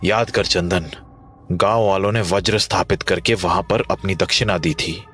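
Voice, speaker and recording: An actor playing a calm, thoughtful man who explains things in measured horror story dialogue. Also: low voice, a little hiss or hum. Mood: calm reasoning. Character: calm, thoughtful young man working out a mystery. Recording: faint music behind the voice.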